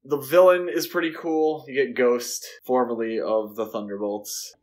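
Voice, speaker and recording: A man co-hosting a podcast, chatting casually about films in a halting, conversational way. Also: mid-range voice, fairly even intonation. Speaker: podcast co-host. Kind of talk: casual film discussion.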